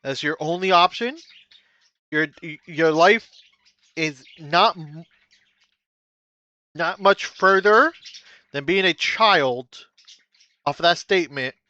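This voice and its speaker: A man talking straight into a close desk mic, reacting to a video in loose, blunt commentary. His voice is bright and forceful, and he keeps repeating himself. The sound is dry and a little dull, with no room around it. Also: fairly high pitch, expressive, takes it slowly, long pauses, no echo. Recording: slightly dull dry recording.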